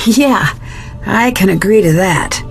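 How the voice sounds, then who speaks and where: A woman's voice: medium pitch, expressive, takes it slowly, creaky, soft background music, low-quality recording. An actress playing a curious, polite young woman detective, asking questions in a video game. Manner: inquisitive, polite.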